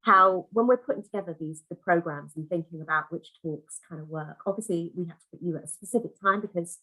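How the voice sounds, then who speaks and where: A woman hosts an online conference from a video call, gushing over the speakers and chatting freely. Her voice sits fairly low, and her talk is loose and full of restarts. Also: quick pace, poor audio. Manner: warm, chatty, effusive, lots of fillers and restarts. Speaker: conference host.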